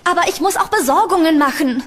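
A woman's voice: very high-pitched, fast, fairly bright tone. A woman playing an anxious young woman in a horror audio drama. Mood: anxious, insistent. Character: anxious young woman trapped at an eerie villa.

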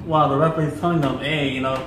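A young man co-hosting a casual chat, relaxed, joking and opinionated. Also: a little high, racing. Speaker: co-host. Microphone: camera a few feet away. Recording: echoey room with clear background noise.

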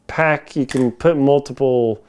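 A middle-aged man talks through a product in a casual, hands-on way. His voice is clean and resonant, sitting at an average pitch. The wording is informal and folksy, picked up indoors by a lapel mic. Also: measured pace, a little mellow, clear, resonant tone. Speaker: gear reviewer. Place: home kitchen, indoors.

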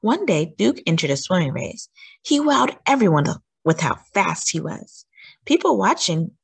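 A woman reads a children's story aloud with a lively, swooping intonation. Her voice sits at a medium pitch with a husky edge, and she leans on key words for young listeners. The sound is close and dry. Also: no echo. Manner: animated, emphatic delivery with drawn-out words. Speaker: storytime host reading aloud.

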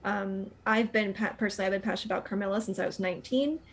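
A woman's voice: mid-range voice, very fast. A woman actor speaking thoughtfully and earnestly in a panel interview over a video call. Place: indoor room, on a video call.

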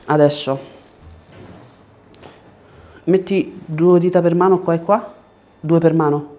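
A man's voice: a little high, fairly even intonation, takes it slowly, mellow. A man in his thirties, a chiropractor giving short directions and explanations to a patient during treatment. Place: small indoor treatment room. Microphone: lapel mic. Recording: clear background room noise.

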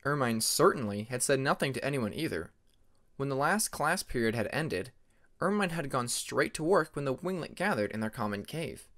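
A man's voice: medium pitch, lively intonation, moderate pace, fairly bright tone. A man narrating scripted fantasy fiction as an audiobook reader.

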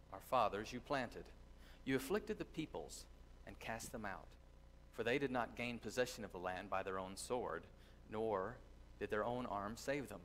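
A middle-aged man giving a sermon from a church pulpit, teaching earnestly with thoughtful pauses and reading scripture aloud. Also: fairly low pitch, a bit bright, slight background noise. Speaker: pastor. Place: church sanctuary with congregation. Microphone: distant camera, lectern mic.

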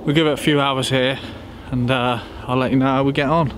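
A man's voice: medium pitch, steady tone, a little fast. A young man talking casually to camera outdoors as an angling vlogger. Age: young adult. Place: outdoors by a river boatyard. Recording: clearly noisy outdoor ambience.

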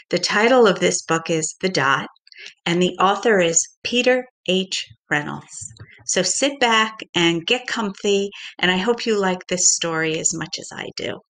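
A middle-aged woman reading a picture book aloud to young children in a deliberate, expressive way, like a teacher. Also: on the low side. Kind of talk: children's storybook read-aloud.

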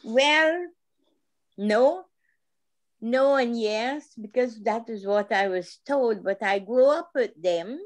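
A woman's voice: a little high, dry recording. An elderly woman from Guyana with a Guyanese accent, giving short, laughing answers on a video call. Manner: slow, laughing, brief answers. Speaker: guest. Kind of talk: casual interview on a video call.

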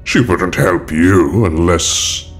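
An elegant older villain of a space-fantasy audio drama, with an extremely deep voice. He talks slowly and formally, with cold, persuasive menace, and music plays behind him. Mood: probing, condescending. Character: elegant, sinister older male dark lord, coldly persuasive. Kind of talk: fan audio drama acting.

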